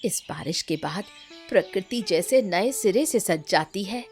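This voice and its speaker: A tender, indulgent village grandmother acted by a woman in a cartoon story. She speaks very slowly at a middling pitch, with music in the background. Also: animated, darkish tone, husky. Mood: gentle, admiring. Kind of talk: Hindi cartoon story dialogue.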